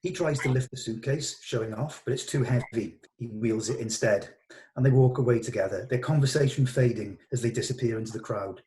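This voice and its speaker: Medium-pitched man reading stage directions. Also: fairly quick, dark tone, low-quality recording. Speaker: reader of stage directions, running the rehearsal. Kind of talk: scripted narration of screenplay directions. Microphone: video call.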